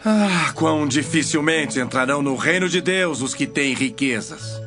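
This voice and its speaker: An actor with a Brazilian accent playing a solemn, authoritative male teacher in a measured, declamatory scripture drama. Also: a little high, animated. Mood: earnest. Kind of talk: dramatized scripture reading in formal Portuguese. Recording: music bed behind the voice.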